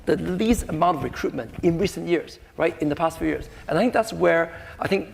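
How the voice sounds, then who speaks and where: A man's voice: fairly high pitch, brisk, creaky, slight background noise. A man speaking on a panel, tagging his points with "right?" and "I think". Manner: peppers speech with "right?" and "I think". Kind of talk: panel discussion. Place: conference hall. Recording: echoey conference hall.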